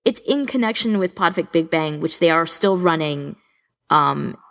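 Low-pitched woman with creaky voice. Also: lively intonation, normal speed, fairly bright tone. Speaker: podcast co-host. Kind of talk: casual podcast chat.

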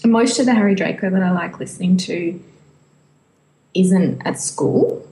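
A woman's voice: medium pitch, normal speed, dark tone. A woman co-hosting a podcast in a casual, conversational style. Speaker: podcast co-host. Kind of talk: casual chat. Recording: echoey room.

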